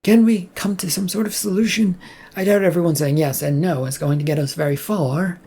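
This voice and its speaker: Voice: medium pitch, brisk, mellow, slightly rough, voice pushed up. A narrator voicing an exasperated female worker who mediates in a reasonable, pleading manner, in an audio story.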